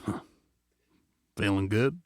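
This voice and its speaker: An actor playing a cautious, sheepish male outlaw in an audio drama, with a husky voice. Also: fairly low pitch, lively intonation, slow, a little mellow, no echo, studio quality. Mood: calm. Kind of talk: dramatic dialogue in fiction podcast.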